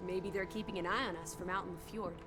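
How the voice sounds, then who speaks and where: A woman's voice: lowish voice, steady tone, fast, natural voice. An actress playing a playful young 1920s American woman in a video game, teasing in jaunty old-fashioned slang. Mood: cheeky. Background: music.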